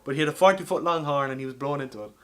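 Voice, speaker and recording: A man hosting a casual comedy podcast, talking in an animated, teasing way with some swearing. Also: mid-range voice, racing. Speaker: podcast host.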